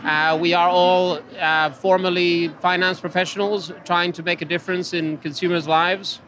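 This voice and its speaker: A man from Finland with a Finnish accent, answering interview questions hesitantly and with many filler sounds, outdoors at a loud racetrack. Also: high-pitched, level tone, normal speed. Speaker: interview guest, company executive. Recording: noisy outdoor racetrack recording.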